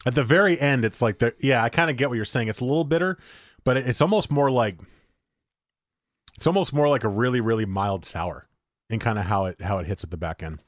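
A man's voice: medium pitch, a little fast, dry recording, studio quality. A man co-hosting a casual beer-tasting chat, rambling and joking, with a stuffy nose. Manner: rambling, self-correcting, joking. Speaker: podcast co-host.

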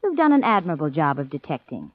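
Poised, animated young radio leading lady. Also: low voice, fast, dry recording. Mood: coy, warm. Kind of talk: 1940s radio acting. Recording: dry, tinny 1940s radio recording.

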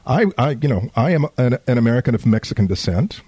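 A man from San Antonio with a Texan accent, hosting a conservative talk radio show, halting and repeating words with many fillers. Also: medium pitch, lively intonation, mellow, husky, no room sound. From San Antonio, Texas.

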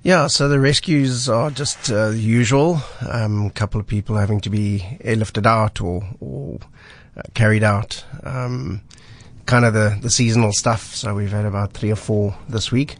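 Mountain guide, man, low husky voice from a cold, relaxed, fills pauses with um. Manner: relaxed, frequent ums and repeated words. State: husky voice from illness. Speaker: mountain guide, weekly radio guest. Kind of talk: casual radio chat. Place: radio studio.

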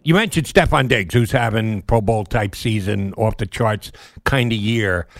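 Male sports talk host, creaky mid-range voice, dry studio sound, colloquial and opinionated. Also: on the low side, unhurried, no room sound. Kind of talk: conversational sports radio interviewing.

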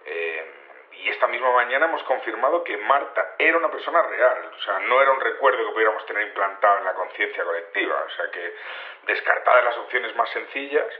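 An actor playing a matter-of-fact security investigator, explaining hesitantly over a phone-like radio link. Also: male voice, on the low side, close to an everyday voice. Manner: hesitant, stumbling, earnest explaining. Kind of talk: news phone interview in audio fiction. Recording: roomy, narrow radio or phone line.

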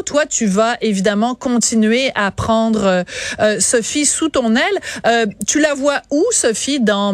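A woman's voice: fairly high pitch, no echo. A woman from Quebec with a Québécois accent, hosting a casual radio interview and talking in a chatty way with frequent fillers. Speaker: radio show host.

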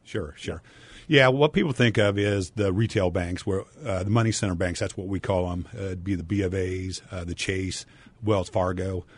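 A man's voice: low voice, normal speed, breathy edge. A middle-aged man speaking as a guest on a radio talk show, businesslike with many fillers. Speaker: guest, bank president. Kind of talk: radio interview.

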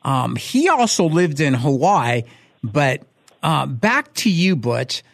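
Upbeat pastor hosting a talk show. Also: male voice, a little high, very animated, slow, vocal fry, dry recording. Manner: upbeat, enthusiastic. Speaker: host, pastor. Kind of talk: radio talk show chat.

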